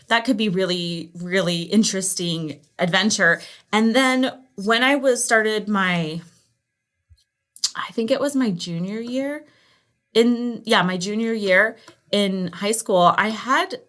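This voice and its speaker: A woman speaks as a podcast guest. Her pitch is mid-range and she tells personal stories in a casual, animated way, slipping into little reenacted remarks as she goes. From Los Angeles area, United States. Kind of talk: casual conversational storytelling.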